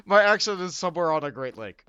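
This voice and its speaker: Animated man chatting on a game stream. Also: high voice, fairly quick, clean tone, dry recording, lo-fi sound. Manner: rambling, self-deprecating, laughs often. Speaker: streamer host. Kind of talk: casual gaming stream chat.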